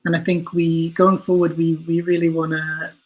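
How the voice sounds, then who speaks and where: A man's voice: on the high side, completely flat delivery, normal speed, muffled recording. A man being interviewed as an audio drama writer, talking reflectively and a little hesitantly as he thinks aloud.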